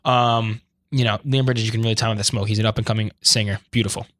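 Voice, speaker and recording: Fast-talking jokey podcast host man. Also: lowish voice, fairly even intonation, fairly bright tone. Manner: brash, jokey, upbeat. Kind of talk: casual chat.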